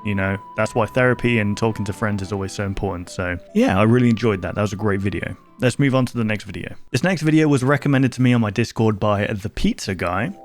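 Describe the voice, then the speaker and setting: A man's voice: fairly low pitch, quick pace, hardly any pauses. A man giving casual reaction commentary as a video host, thinking aloud informally and often posing questions. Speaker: YouTube host reacting to viewer-recommended horror videos. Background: prominent music behind the voice.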